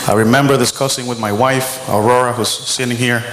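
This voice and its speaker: A middle-aged man giving a measured, earnest opening statement as a mayoral candidate at a school debate. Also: medium pitch, steady tone, noisy background. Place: school auditorium. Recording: roomy hall with long reverb.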